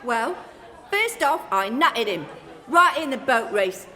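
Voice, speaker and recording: A feisty Cockney landlady in a comic radio play. Her voice is high, forceful and brash, full of slangy threats. It rings in a reverberant hall with a crowd behind her. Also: sing-song, slow pace, heavily acted. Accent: London. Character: feisty, foul-tempered cockney pub landlady.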